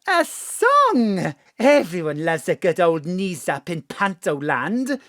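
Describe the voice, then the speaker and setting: A woman's voice: lowish voice, sing-song, slow pace, fairly bright tone, no room sound, clean studio sound, full character voice. An actress playing a jolly, motherly older matron with broad, exclamatory pantomime delivery in an audio drama. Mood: cajoling.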